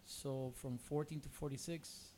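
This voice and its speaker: A man's voice: mid-range voice, steady tone, measured pace, warm tone. Guy in his 40s, cuban accent, spanish first language, rambly casual podcast guest, noisy background. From Costa Rica, born in Cuba. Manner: hesitant, rambling, many "you know"s. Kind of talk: casual conversational interview.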